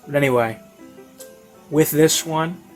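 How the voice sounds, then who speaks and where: A man giving a casual, rambling spoken review in a hesitant, halting way, as if thinking aloud. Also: a little high. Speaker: reviewer of audio series. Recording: classical music playing behind. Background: classical music.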